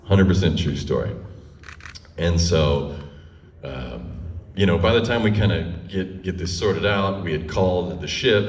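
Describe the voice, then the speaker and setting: A man telling a personal story in a conversational, comic style, with dramatic pauses and animated retelling. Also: extremely low pitch, slight background noise. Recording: roomy echo, distant microphone.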